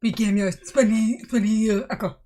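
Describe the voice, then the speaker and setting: A woman's voice: on the high side, fairly even intonation, moderate pace, mellow. A middle-aged woman, chatting casually as a co-host. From India. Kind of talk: casual chat.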